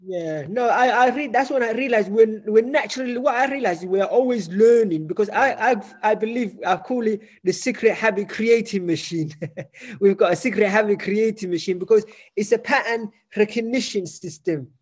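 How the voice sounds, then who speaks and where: Chatty male host with a high voice, reflective, laughs easily, keeps affirming. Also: moderate pace. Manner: chatty, reflective, laughs often, frequent restarts; affirms constantly with short yeahs. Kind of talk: casual conversation.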